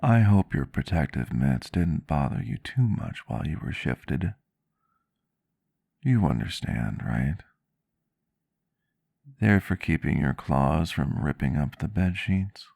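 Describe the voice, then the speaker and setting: A man playing a gentle, courteous vampire doctor in an ASMR roleplay, soft-spoken, caring and formal in his wording, close to the mic. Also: rumbling low, very animated, slow, creaky, pauses often, dry recording, heavily acted. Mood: tender, reassuring. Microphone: close microphone, dry sound.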